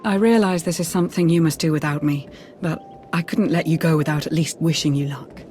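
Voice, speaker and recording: An actress playing a devoted, earnest advisor in a video game, formal and measured. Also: female voice, deep voice, steady tone, darkish tone, a little hiss or hum, close to an everyday voice. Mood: worried. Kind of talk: video game cutscene acting.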